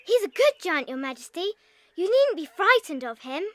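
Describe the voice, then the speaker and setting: A woman's voice: very high voice, sing-song, normal speed, fairly bright tone, no room sound, exaggerated voice. A woman playing a polite, earnest little girl in a dramatized audiobook. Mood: earnest, reassuring.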